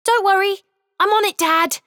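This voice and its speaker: An eager little boy pipes up, his voice pitched high and loud with excitement, as a woman narrator puts him on. It is a storybook voice, close and dry. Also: a little slow, dry recording, full character voice. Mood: excited.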